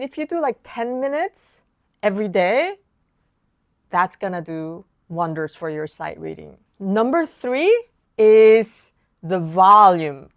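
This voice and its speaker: A woman whose first language is Korean, a piano teacher explaining practice tips in a calm, casual lesson. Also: medium pitch, no room sound, clean studio sound. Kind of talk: online lesson talk.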